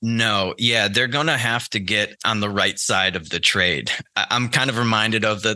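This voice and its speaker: A man's voice: on the low side, pushed and bright, no echo. A man from the United States talking casually as an interview guest, informal and thinking aloud. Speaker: podcast guest, trader and former institutional investor.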